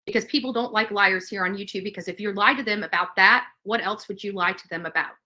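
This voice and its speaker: A woman's voice: medium pitch, fast talker, pushed and bright. A woman livestreamer delivering a combative, emphatic rant to her viewers, repeating phrases for stress. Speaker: livestream host.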